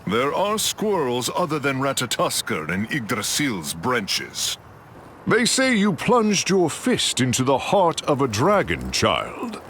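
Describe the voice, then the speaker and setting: A man's voice: mid-range voice, wildly swooping pitch, slow, a bit bright, slight background noise, exaggerated voice. A man playing a proud, noble warrior god of thunder in a video game, with stately, archaic, declamatory delivery. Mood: proud, resolute. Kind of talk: theatrical video game voice acting.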